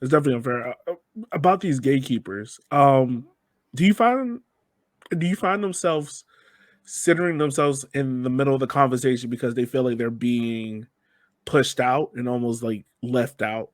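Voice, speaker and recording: Male interviewer, informal and slangy, mid-pitched, recorded dry and close. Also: normal speed, no echo. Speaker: podcast host. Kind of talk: casual podcast interview.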